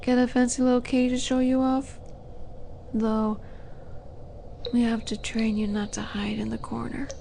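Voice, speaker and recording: Casting: actress for female demon in audio drama, higher-pitched, haughty, flirty and taunting, unhurried delivery, noisy background. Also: level tone, dark tone. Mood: teasing menace. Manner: condescending, teasing, unhurried menace with breathy asides. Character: flirty, teasing female demon, haughty would-be buyer of captives. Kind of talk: scripted audio roleplay monologue.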